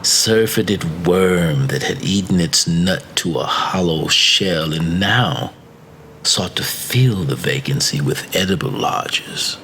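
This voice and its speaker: A man narrating a classic macabre story as an audiobook, with measured, deliberate phrasing. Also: low-pitched, expressive, pushed and bright, slight background noise, poor audio. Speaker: story reader.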